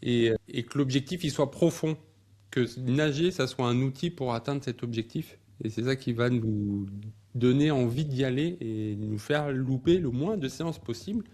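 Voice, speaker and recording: Male podcast host with a mellow voice, encouraging, sums up and restates his guest's points. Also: medium pitch, normal speed. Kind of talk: casual interview talk.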